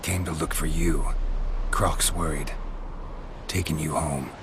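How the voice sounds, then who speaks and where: An actor playing a gruff, grizzled monster hunter in a video game, speaking in short, flat lines. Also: male voice, slow, background noise. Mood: dry. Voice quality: low, flat, terse delivery.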